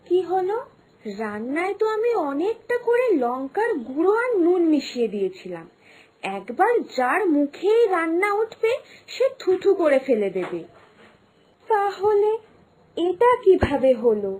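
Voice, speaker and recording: A woman's voice: very high-pitched, sing-song, a little slow, a little mellow, full character voice, poor audio. A woman voicing a jealous, plotting elder daughter-in-law in a children's cartoon. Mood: jealous, frustrated. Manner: scheming, muttering plans to herself. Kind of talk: Bengali moral-story cartoon dubbing.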